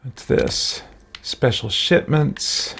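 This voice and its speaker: A man's voice: medium pitch, big pitch swings, extremely slow, vocal fry, fairly bright tone, breathy edge. A middle-aged man giving casual gameplay commentary, muttering and thinking aloud. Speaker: gaming streamer. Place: small room at home.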